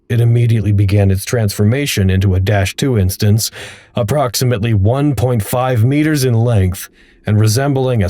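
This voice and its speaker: An actor playing a detached research log narrator, reading formal reports in a precise, impersonal way for an audio drama. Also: male voice, lowish voice, warm tone, dry recording, studio quality, lightly acted.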